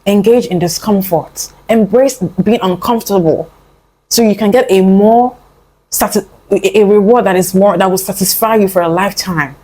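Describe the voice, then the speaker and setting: A woman's voice: medium pitch, normal speed, warm tone, low-quality recording. Earnest young woman giving pep talk. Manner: earnest, coaxing, calls the listener "my love" and "honey"; rambling with frequent fillers and restarts. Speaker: motivational vlogger. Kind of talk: casual motivational talk to camera.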